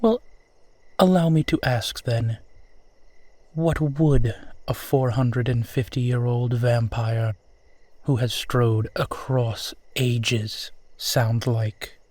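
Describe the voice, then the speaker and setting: A man plays an ancient, suave male vampire in a roleplay audio. He speaks very slowly and formally in a mid-pitched voice, close and dry. He is proud and theatrical, with an amused condescension toward the mortal he guides. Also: fairly even intonation, a little mellow, dry recording. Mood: amused, probing. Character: suave 450-year-old male vampire guiding a lost stranger, proud, amused. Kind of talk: slow dramatic roleplay audio monologue.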